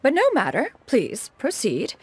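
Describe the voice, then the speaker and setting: A woman's voice: on the high side, wildly swooping pitch, unhurried, slightly rough, no echo, full character voice. A woman voicing an ancient, proud immortal master in a video game dub, pompous and verbose in archaic formal speech. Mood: composed, formal. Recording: music under the voice. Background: music.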